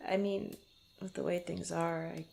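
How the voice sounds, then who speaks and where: Woman acting a slow-talking, teasing young rogue adventurer. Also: fairly low pitch, flat delivery, gravelly, a little mellow. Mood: playful fond. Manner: hesitant, stammering, playful banter. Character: teasing, flustered young female rogue adventurer, guarded but fond. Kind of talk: intimate ASMR roleplay, one-sided conversation.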